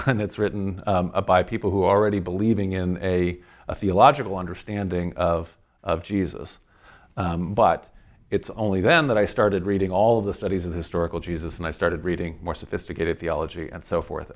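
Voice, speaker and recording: A man with a low voice talks through his reflections as a lecturer would in a relaxed podcast discussion. His delivery is articulate and thoughtful, often halting as he works out the wording. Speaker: lecturer answering audience questions.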